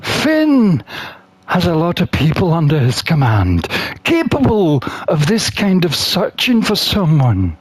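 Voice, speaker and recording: Expressive, unhurried gamer reading aloud. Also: mid-range voice, slightly rough. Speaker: gamer playing and narrating. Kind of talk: reading a visual novel aloud, voicing characters.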